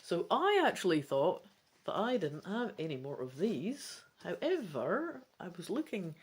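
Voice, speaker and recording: Storyteller for a fantasy gamebook: unhurried, theatrical, very animated intonation, deliberate phrasing. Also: mid-range voice, breathy edge. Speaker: gamebook reader and video host. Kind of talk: dramatic storybook narration with casual asides.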